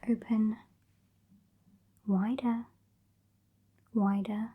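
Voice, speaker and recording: A woman acts out a haughty British vampire mistress for an ASMR roleplay. Her voice is high yet soft and breathy, mostly whispered. She draws out her words very slowly, with withering impatience and condescension. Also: little pitch movement, phone-quality sound, exaggerated voice. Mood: bossy, withering. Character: sassy, haughty, impatient female vampire scolding her weakling fledgling.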